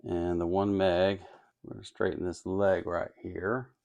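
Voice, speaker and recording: A man with a fairly low voice and a strongly creaky, gravelly edge talks through a hands-on task. His delivery is casual and unscripted, as if thinking aloud. He muses and hesitates as he goes. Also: little pitch movement, unhurried, darkish tone, muffled recording. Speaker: host of an electronics kit-building channel. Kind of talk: casual, unscripted workbench narration.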